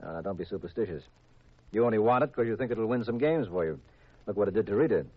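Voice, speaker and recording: Muffled old-radio detective, low-voiced and wry. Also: male voice, animated, fast, dry recording. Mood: unruffled. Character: cool, wry male amateur detective and gambler. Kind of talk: 1950s radio mystery acting, with first-person narration. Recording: muffled old radio recording, music behind.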